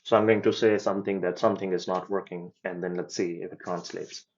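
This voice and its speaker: A man explaining and demoing his software project in a casual walkthrough, hesitating with ums and restarts as he goes. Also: low-pitched, steady tone, fairly quick, dull audio, a little hiss or hum. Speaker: developer giving a project demo. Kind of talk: casual screen-share demo walkthrough.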